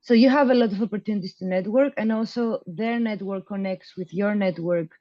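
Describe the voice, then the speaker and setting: A woman's voice: medium pitch, a little fast, no echo, lo-fi sound. Young woman, hesitant interview answers. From Greece. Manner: loose and hesitant, many fillers and restarts. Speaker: program graduate guest.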